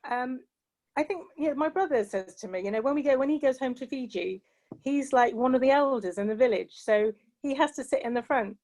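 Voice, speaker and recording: British lady, middle-aged, kinda high voice, heartfelt, lots of "you know". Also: fairly quick, darkish tone, rough recording. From England. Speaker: panel guest. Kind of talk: earnest personal reflection.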